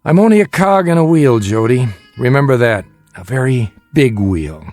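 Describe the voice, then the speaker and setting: An actor in a radio drama playing a weary middle-aged father, gentle but guarded and measured in his delivery. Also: mid-range voice, expressive, soft background music, close to an everyday voice. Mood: defensive. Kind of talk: naturalistic radio drama acting.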